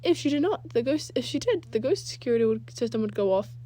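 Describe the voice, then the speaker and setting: Woman playing a story father, loud, confident, mellow timbre, faint noise behind. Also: on the high side, animated, fast, natural voice. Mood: confident, urgent. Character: loud, confident father who hunts ghosts.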